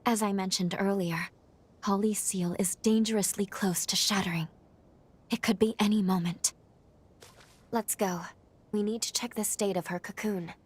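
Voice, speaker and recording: Breathy, grave woman in a game, acted. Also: mid-range voice, steady tone, normal speed, close to an everyday voice. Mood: grave, urgent. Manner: formal, serious. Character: grave, urgent woman companion guiding a hunter. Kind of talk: dramatic video game cutscene acting. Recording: faint music under the voice.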